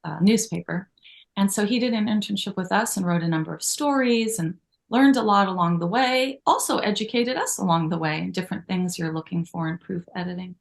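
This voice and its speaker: A woman's voice: medium pitch, brisk, creaky. A middle-aged woman, co-founder of a magazine, talking in an interview with a grateful, storytelling manner full of asides. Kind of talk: conversational interview.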